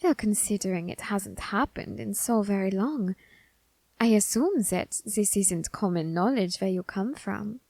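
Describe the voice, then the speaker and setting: Woman doing androgynous reaper, teasing, whispery, slow, dry close recording. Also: medium pitch, vocal fry, warm tone, no room sound. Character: curious androgynous grim reaper, teasing and coolly menacing. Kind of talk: ASMR audio roleplay.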